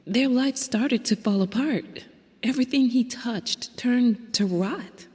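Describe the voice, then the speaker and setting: A woman's voice: on the high side, slow, a little mellow. An actress playing a somber female narrator in a horror video game, telling of a family's decline. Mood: somber, ominous. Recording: reverberant hall sound.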